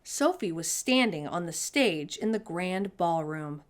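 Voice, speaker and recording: Slow, animated woman reading a storybook. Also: on the low side. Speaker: children's storytime reader. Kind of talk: expressive picture-book narration for children.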